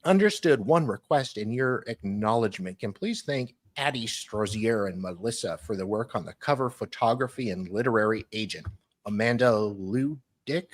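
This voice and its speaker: A man's voice: on the low side, animated, moderate pace, dry recording. A man co-hosting a casual show, reading emails aloud and chipping in with short remarks.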